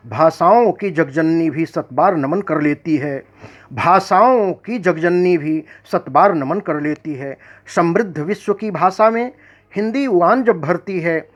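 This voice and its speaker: A man, a poet and editor, gives a formal self-introduction and then recites his own verse in a measured, declamatory way, repeating lines for effect. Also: fairly high pitch.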